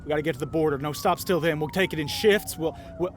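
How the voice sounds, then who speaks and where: A man's voice: a little high, fast talker, close to an everyday voice. A man voicing a volatile, scheming bank robber in an audio roleplay, with a folksy Southern US accent and coaxing pet names for his partner. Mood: urgent, pleading.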